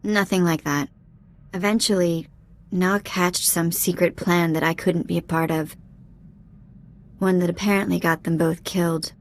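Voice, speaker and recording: A woman playing a cheeky, warm-hearted young alien ex-thief in a video game, speaking in a casual, candid, slangy way. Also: lowish voice, fairly even intonation, a little slow, long pauses. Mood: wistful. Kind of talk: video game dialogue acting.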